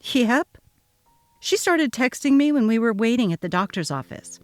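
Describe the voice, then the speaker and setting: A woman's voice: fairly high pitch, big pitch swings, normal speed, dry recording, studio quality, soft background music. A woman voicing an exasperated young mother. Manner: dramatic, emphatic reading with sharp exasperation. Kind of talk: dramatic reading of a text-message story.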